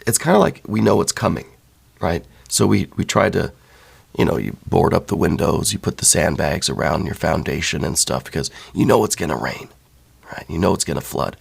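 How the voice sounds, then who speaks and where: A man with a hoarse voice, worn down by laryngitis, giving a soft, thoughtful ASMR tarot reading. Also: deep voice. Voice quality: hoarse, breaking into whispers. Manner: soft, thoughtful, with many fillers and repeats. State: losing his voice from laryngitis. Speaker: tarot reader.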